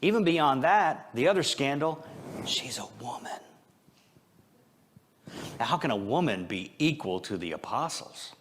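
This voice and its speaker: A man speaks as a pastor delivering an informal sermon. His voice sits at an average male pitch. He talks to his listeners conversationally, slipping in self-deprecating humor and rhetorical questions. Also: sing-song, measured pace, pauses often, a bit bright, slight background noise. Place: church service, speaking before a congregation.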